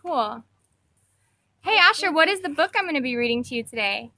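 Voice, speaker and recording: A woman reading storybooks aloud in an animated, encouraging way aimed at young children. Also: high-pitched, a little fast. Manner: encouraging, clearly addressing small children.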